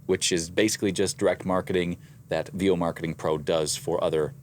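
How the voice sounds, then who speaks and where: A very low-voiced man with a slight Midwestern accent talks through his weekly figures in a casual, methodical way. A faint noise sits behind his voice. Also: fairly even intonation, a little fast. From American Midwest. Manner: methodical, reads out numbers. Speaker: voice actor and co-host. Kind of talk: casual business chat.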